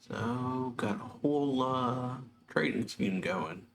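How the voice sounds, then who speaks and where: A woman's voice: rumbling low, expressive, slow pace, echoey room, a little hiss or hum. A woman streaming a game, giving brief, musing, casual commentary as a let's play host. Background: game music.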